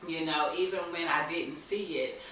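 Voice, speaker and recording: A woman's voice: low-pitched, normal speed, pushed and bright. An American woman telling her personal story candidly as a guest, in earnest, colloquial, emphatic talk where she repeats herself. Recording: echoey room, distant mic, faint hiss.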